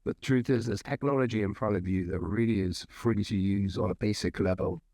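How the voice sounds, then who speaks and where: A man's voice: lowish voice, fairly quick, a little mellow, dry recording, studio quality. A man speaking as a panelist who urges the audience in a persuasive way. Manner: urging, persuasive.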